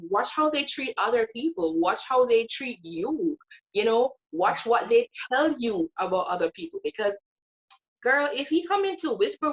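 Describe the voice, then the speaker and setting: A woman's voice: high-pitched, a bit bright, highs cut off. A woman guest sharing her Bible study in a casual faith conversation. She speaks earnestly and with emphasis, stretching out key words like a teacher.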